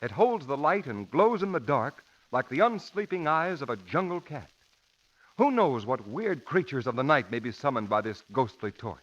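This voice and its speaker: A man working as a radio announcer, delivering a dramatic 1940s advertising pitch. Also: big pitch swings, normal speed, a bit bright. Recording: muffled old 1940s radio recording.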